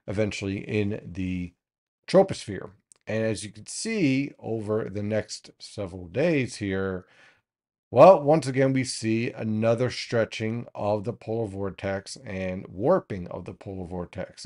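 A man in his thirties, a weather forecaster, giving a steady explanatory briefing over weather maps from a small home studio. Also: on the low side. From United States. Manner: steady explanatory tone, pointing things out on charts.

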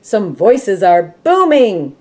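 Casting: middle-aged woman, American Midwestern accent, storybook reader with unhurried pace and animated intonation. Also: mid-range voice. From Michigan, United States. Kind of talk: children's read-aloud storytelling. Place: small room at home, indoors.

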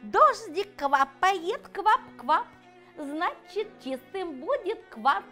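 A woman's voice: very high-pitched, sing-song, drawn-out words, pushed and bright, faint music behind, voice pushed up, heavily acted. A woman voicing a shy but joyful young female frog in a children's storybook, with sing-song exclamations.

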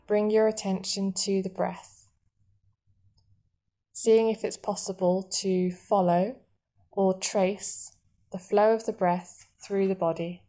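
A woman guiding a meditation in a soothing, measured manner, leaving long pauses between short phrases. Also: medium pitch, fairly even intonation, mellow. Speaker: meditation guide. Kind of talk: guided body scan meditation narration.

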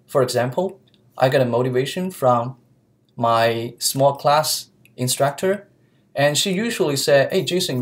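A young man from China with a Chinese accent, answering interview questions earnestly in his second language, often pausing with fillers and restarting sentences, recorded on a laptop webcam in a small office. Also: medium pitch. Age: young adult. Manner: earnest, searching for words, frequent fillers and restarts. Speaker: student interviewee. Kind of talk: mock job interview. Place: small office room with whiteboard, indoors. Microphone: laptop webcam mic.

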